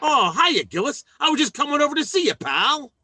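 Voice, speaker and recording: An actor playing a scheming, self-important working-class husband in an old-time radio sitcom, with blustery, folksy speech. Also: male voice, very high voice, very animated, fairly quick, bright, forceful tone, no room sound, clean studio sound, full character voice. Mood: awkwardly persuasive.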